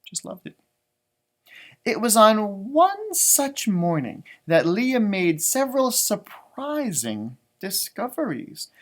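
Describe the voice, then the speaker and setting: A man reading a storybook aloud to children as a teacher, expressively, with informal explanatory asides. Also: sits high. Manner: expressive, explains words and pictures simply for children.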